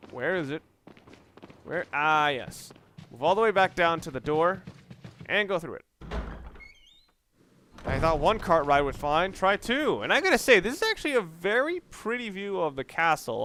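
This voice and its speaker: A man's voice: on the high side, pushed and bright, slight background noise. A man giving casual let's play commentary in a rambling, conversational way, often correcting himself as he goes. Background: game music.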